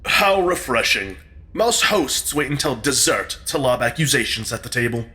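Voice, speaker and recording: An actor playing an urbane, cynical dinner guest in an audio drama, articulate and drily sarcastic. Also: male voice, medium pitch, animated, normal speed, sharp tone. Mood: wry, needling.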